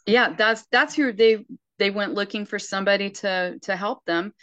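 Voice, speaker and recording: A woman's voice: mid-range voice, normal speed, a bit bright, no echo. A sixty-year-old woman speaking earnestly and emphatically in casual conversation, often restarting her sentences. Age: 60s.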